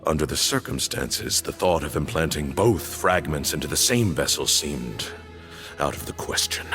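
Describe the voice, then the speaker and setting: An actor playing a solemn male temple elder in a video game, speaking in grave, measured declamations. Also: rumbling low, expressive, a bit bright, soft background music. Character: solemn male cult elder, proud and zealous. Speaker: temple leader.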